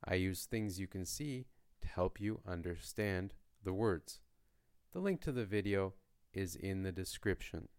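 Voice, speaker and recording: A man from Canada hosting a beginner language podcast, speaking in a slow, lightly joking teaching voice. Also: low voice, expressive, a little mellow, smooth. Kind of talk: slow, clear lesson narration for learners.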